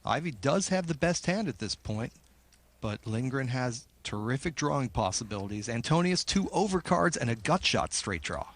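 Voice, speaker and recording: A man's voice: medium pitch, very animated, moderate pace, fairly bright tone. A man giving analysis during a televised poker broadcast, explaining each player's hand and odds.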